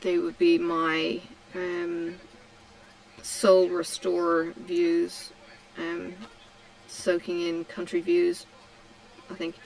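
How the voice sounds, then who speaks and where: A middle-aged woman from Tasmania chatting casually while she crafts, answering questions slowly with many ums and pauses. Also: lowish voice, monotone, gravelly, a little mellow, rough recording. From Australia (Tasmania). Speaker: craft vlogger. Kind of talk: relaxed chat while crafting.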